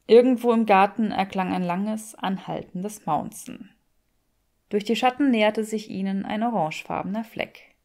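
A woman's voice: medium pitch, moderate pace, a little mellow. Woman narrating fiction with wry measure. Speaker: audiobook reader. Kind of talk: expressive scripted fiction narration in German.

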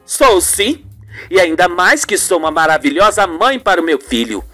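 Woman acting a gushing, haughty older mother-in-law, swooping pitch. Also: sing-song, moderate pace, fairly bright tone, faint music behind. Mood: smug, sweet. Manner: gushing, affected. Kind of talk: amateur radio soap opera acting.

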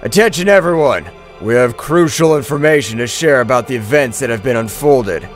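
A man's voice: medium pitch, animated, brisk, faint music behind, voice pushed down, lightly acted. A man voicing a solemn divine messenger who addresses the gods in an earnest, formal, declamatory way. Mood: urgent.

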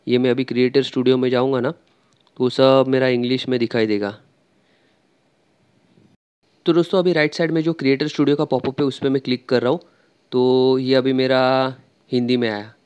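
A man's voice: medium pitch, steady tone, moderate pace, long pauses, darkish tone, clean tone, dull audio. Chatty man walking through settings. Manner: chatty, explains step by step, frequent fillers. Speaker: tech tutorial creator. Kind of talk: screen-recorded tutorial walkthrough.

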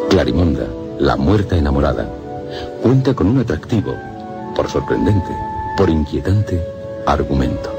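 A man with a Castilian Spanish accent narrating a radio drama in a solemn, deliberate, suspenseful way. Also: extremely low pitch. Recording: music under the voice.

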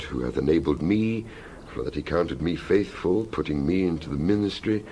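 A man giving a dramatized scripture reading as a Bible narrator, solemn and emphatic, with measured oratorical phrasing. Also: sits low, a little mellow, a little hiss or hum. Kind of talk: dramatized scripture reading, King James English.

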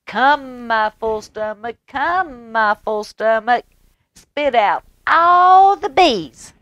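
A woman's voice: lively intonation, very slow, no echo, highs cut off, exaggerated voice. Woman crowing as a plucky little rooster. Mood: chanting. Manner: loud high crowing chant.

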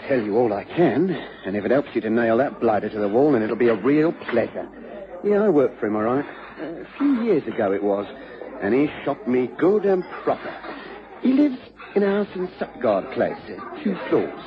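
An actor playing a chatty, folksy old-lag informer in a radio drama. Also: male voice, medium pitch, very animated, normal speed, lightly acted. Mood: chatty, sly. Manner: chuckling, folksy, sly. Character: chatty, obliging small-time ex-crook and informer. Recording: dull lo-fi radio broadcast, crowd chatter.

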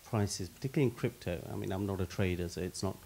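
Panelist, middle-aged man, low voice, handheld mic, faint hiss, expansive and list-heavy. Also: quick pace, vocal fry, dark tone. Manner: expansive, repeats words when starting, gives lists of examples. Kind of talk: panel discussion answer. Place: stage in a meeting hall with audience.

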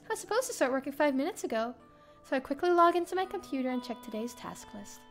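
A high-voiced woman narrates a first-person story in an expressive, theatrical way. Her intonation swings widely as she reads. Music plays softly behind her. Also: quick pace. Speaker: streamer reading a visual novel aloud. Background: game music.

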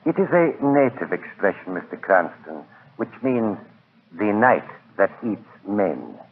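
An actor playing a grave island hotel proprietor, formal, deliberate and ominous, in 1940s radio drama. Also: male voice, on the low side, sing-song. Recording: tinny, noisy 1940s radio recording.

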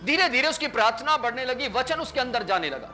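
Brief: male pastor, 30s, high bright voice, animated storytelling sermon, faint music underneath. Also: fast. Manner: animated preacher, acts out dialogue with sweeping gestures. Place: small indoor stage with curtains.

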